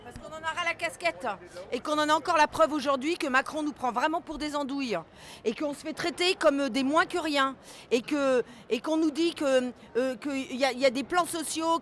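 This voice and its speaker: A woman's voice: high-pitched, brisk, fairly bright tone. A woman speaking as an outraged protester in a street interview, colloquial and repeating her words. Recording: street noise at a demonstration.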